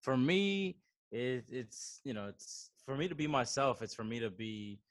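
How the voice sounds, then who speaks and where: A man's voice: medium pitch, animated, measured pace, dry recording, studio quality. A man in his twenties with a Bronx New York accent, chatting casually as an interview guest, slangy and dropping in Spanish words. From the Dominican Republic and the Bronx, New York. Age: 20s. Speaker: podcast guest, coffee entrepreneur.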